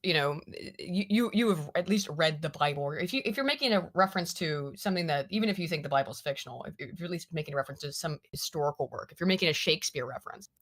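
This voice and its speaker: A woman hosting a casual political chat stream, talking in animated, rambling bursts full of restarts and self-corrections. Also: low voice, fast talker, hardly any pauses. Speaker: stream host. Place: lives in the UK.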